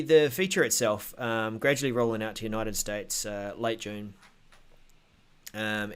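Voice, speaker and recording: Man, slightly low voice, show host, casual tech update delivery, hesitant, thinking aloud.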